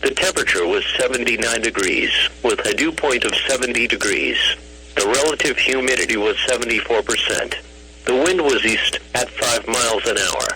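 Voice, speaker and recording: A man's text-to-speech voice delivers a weather bulletin as a radio announcer. The pitch is ordinary for a man and the phrasing is formal, flat and clipped. It comes through a noisy radio broadcast recording. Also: normal speed. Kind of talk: scripted weather bulletin read-out.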